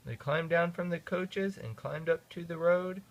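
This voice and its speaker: A father reading a children's picture book aloud in an expressive, sing-song way. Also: on the high side, unhurried, rough recording. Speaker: father reading to his kids.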